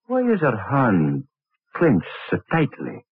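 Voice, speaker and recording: An actor in an old radio drama playing a middle-aged, pompous, evasive anatomy professor, fussy and hesitant, then imperious. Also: male voice, on the low side, huge pitch swings, slow, husky, dry recording, full character voice. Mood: furtive, shrewd. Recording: tinny, band-limited vintage radio recording.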